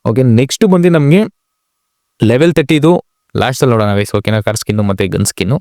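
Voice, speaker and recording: A man's voice: medium pitch, fast, darkish tone, no room sound, clean studio sound. A man giving casual game update commentary as a channel host, talking informally and directly to viewers with English words mixed in.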